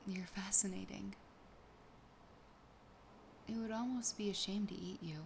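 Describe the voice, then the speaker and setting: A woman voicing a sassy, possessive, lonely siren in a soft, breathy, often whispered ASMR roleplay, slow, teasing and intimate. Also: medium pitch, steady tone, slight background noise. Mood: tender, admiring, then hungry, possessive. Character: possessive, sassy, lonely female siren toying with her catch.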